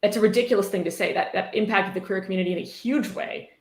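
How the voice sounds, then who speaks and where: A woman's voice: mid-range voice, fast, darkish tone. A woman hosting a casual livestream, reading aloud and reacting in a blunt, exasperated, sweary way. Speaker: livestream host. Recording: roomy, echoey sound.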